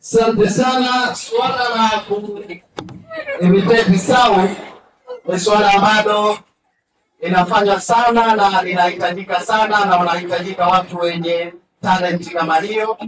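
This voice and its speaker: A man's voice: high-pitched, fairly even intonation, moderate pace. A man hosting a school talent show, announcing acts enthusiastically. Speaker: master of ceremonies. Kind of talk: event hosting. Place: school hall event. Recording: echoey hall, far from mic, faint noise.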